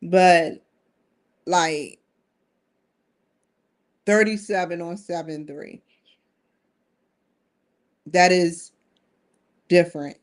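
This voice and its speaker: A woman's voice: on the low side, extremely slow, pauses often. A woman in her forties hosting a live-streamed solo talk show, rambling earnestly with frequent restarts. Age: 40s. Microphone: streaming setup.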